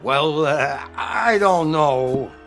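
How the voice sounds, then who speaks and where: High, raspy-edged, fretful cornerman. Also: lively intonation, very slow, a bit bright, soft background music, heavily acted. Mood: worried. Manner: pleading, fretful. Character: anxious, loyal male boxing cornerman. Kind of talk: radio drama acting.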